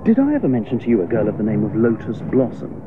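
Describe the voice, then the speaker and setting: An actor playing a breezy, chatty young upper-class English idler in a radio comedy, full of old-fashioned slangy exclamations. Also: male voice, lowish voice, big pitch swings, fast talker. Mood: confiding. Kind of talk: radio comedy drama acting. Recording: muffled, noisy radio play recording.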